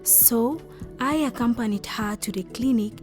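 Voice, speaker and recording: Woman acting a high-voiced, thoughtful young wife. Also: measured pace, a little mellow, soft background music, close to an everyday voice. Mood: reflective. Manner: reflective, sincere. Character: thoughtful, unsure young wife telling her story. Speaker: actor narrating and playing the main character. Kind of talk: first-person radio drama narration and dialogue.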